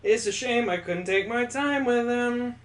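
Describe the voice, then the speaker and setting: A man voicing a calm, flat-voiced murderous young woman in a visual novel reading. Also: on the high side, measured pace, voice pushed up, lightly acted. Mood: cold. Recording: roomy room echo.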